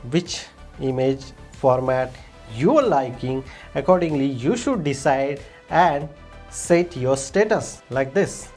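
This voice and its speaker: Low, lively Indian woman presenting tutorials. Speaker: tech tutorial host. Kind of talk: step-by-step screen demonstration narration.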